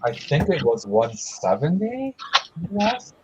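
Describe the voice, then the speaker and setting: A man hosting a casual livestream from home, friendly and quick to laugh, greeting viewers by name. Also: a little high, wildly swooping pitch, background noise. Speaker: livestream host. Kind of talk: casual chat. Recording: dull lo-fi stream audio.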